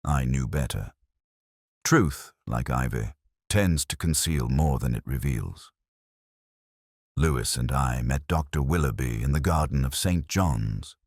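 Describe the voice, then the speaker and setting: A man with a very deep voice narrates a first-person detective story. His delivery is measured and melancholy, with lively movement in pitch. The sound is dry, with no room around it. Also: slightly rough, dry recording. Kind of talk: first-person scripted literary mystery narration.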